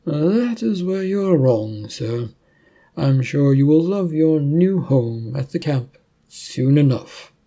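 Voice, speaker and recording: Man playing a cold, gravelly government agent. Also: fairly high pitch, lively intonation, dark tone, full character voice. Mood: cold mockery. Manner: cold, deliberate, formal. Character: cold, menacing male government agent, accusing and unyielding.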